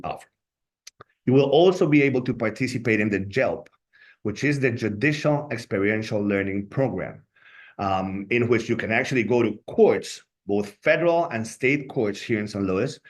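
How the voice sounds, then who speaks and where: Colombian man with a Spanish accent. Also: on the low side. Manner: earnest, often adds "right?" as a tag. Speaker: law school staff member. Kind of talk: informational webinar talk.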